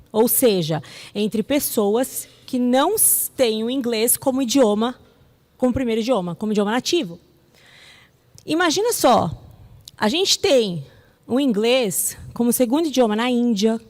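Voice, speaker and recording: A woman's voice: a little high, a little mellow. A Brazilian woman speaking as a teacher to an audience, conversational and emphatic, often checking in with rhetorical questions. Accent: Brazilian Portuguese. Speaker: English teacher and translator giving a talk. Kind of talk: public talk answering audience questions. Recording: echoey hall with long reverb.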